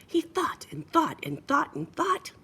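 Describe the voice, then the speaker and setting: A woman's voice: high voice, wildly swooping pitch, measured pace, sharp tone. A woman reading a children's picture book aloud in a playful, sing-song way, with chatty asides. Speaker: storyteller.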